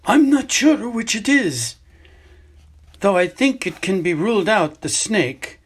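A man voicing a calm, grave old male wizard headmaster in a gentle, measured way, with a husky voice. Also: high-pitched, pitched above the performer's own voice, heavily acted.

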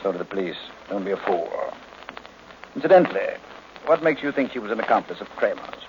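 A pompous genius detective of vintage radio drama. His low voice is precise, sardonic and easily irritated, on a dull recording with faint noise. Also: lively intonation, brisk. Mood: dry disdain. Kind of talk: old-time radio detective drama acting. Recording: muffled, noisy old-time radio recording.

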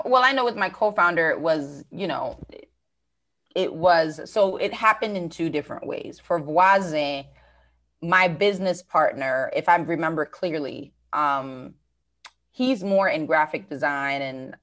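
A woman's voice: low voice, animated, unhurried, sharp tone. An American woman business owner talking through her work in an interview, thoughtful, with many fillers and restarts. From United States. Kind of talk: conversational interview.

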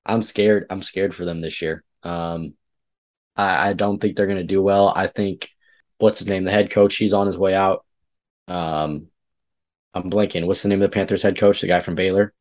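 A man's voice: low voice, fairly even intonation, normal speed, a little mellow. Man breaking down a football game. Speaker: podcast co-host. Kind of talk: casual sports chat.

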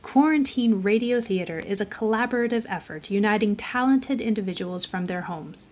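Mid-pitched female radio announcer. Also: normal speed, darkish tone. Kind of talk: scripted radio credits narration.

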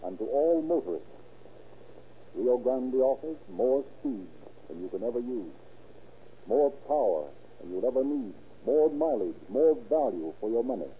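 A male announcer reads an old-time radio commercial from a script in a mid-pitched voice. The sound is narrow and phone-like, with faint noise. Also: sing-song, measured pace. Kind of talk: 1930s radio advertising read. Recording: thin, noisy old radio recording.

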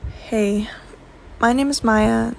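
A seventeen-year-old girl recording a casual voice memo, speaking in a slow, subdued, hesitant way. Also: fairly high pitch, fairly even intonation, gravelly, slight background noise, poor audio. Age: teenager. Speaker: listener sending a voice memo.

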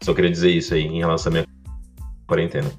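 A man's voice: deep voice, flat delivery, racing, faint music behind. A young man with a Brazilian accent, chatting in casual slang as a podcast co-host.

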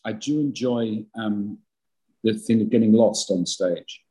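A man's voice: on the low side, a little slow, warm tone, breathy edge. An older man, an actor, talking in a podcast interview, rambling and self-deprecating with many restarts and a big voice. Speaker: actor guest.

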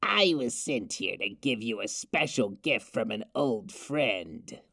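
Man doing a slow, gravelly singing frog. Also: on the low side, lively intonation, bright, forceful tone, dry recording, studio quality, exaggerated voice. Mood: ominous, polite. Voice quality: gravelly, creaky. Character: male singing telegram frog, slow, odd messenger. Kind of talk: comedic radio drama acting.

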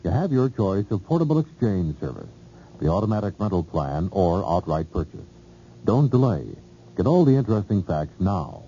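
Deep, mellow American radio announcer man. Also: big pitch swings, moderate pace, breathy edge. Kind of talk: scripted radio advertisement read. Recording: muffled, noisy old radio recording.

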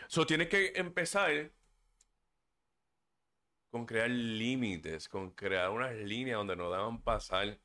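A man's voice: mid-range voice, pushed and bright. A man hosting a solo podcast, talking earnestly and stumbling over words now and then. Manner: earnest and preachy, stumbles on words, drifts into English fillers. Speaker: podcast host. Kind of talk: casual solo podcast talk. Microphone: desk microphone.

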